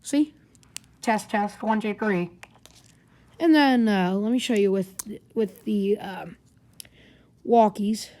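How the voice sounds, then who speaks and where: A mid-pitched voice talks through a hands-on gadget review. The delivery is informal and rambling, like someone chatting at home. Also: a little mellow. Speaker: product reviewer. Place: carpeted home living room.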